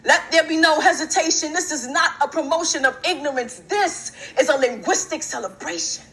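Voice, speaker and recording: A young woman performs spoken word poetry with a rhythmic, emphatic, rhyming drive. Her voice sits very high and rings brightly. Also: moderate pace.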